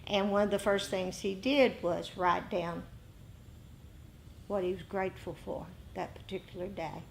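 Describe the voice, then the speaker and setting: A woman giving an informal dharma lesson to a meditation group, pausing between short phrases. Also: mid-range voice, vocal fry, a little hiss or hum. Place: indoor group meeting.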